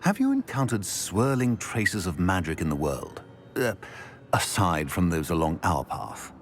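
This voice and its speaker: An actor playing a courteous, secretive old wizard professor in a game cutscene, speaking gravely. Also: male voice, deep voice, big pitch swings, unhurried. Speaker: game character. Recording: faint music behind.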